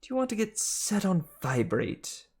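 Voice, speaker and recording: A reader voicing a scolding, bickering female snake with a drawn-out, chiding delivery in a storybook. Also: medium pitch, big pitch swings, husky, voice pushed up.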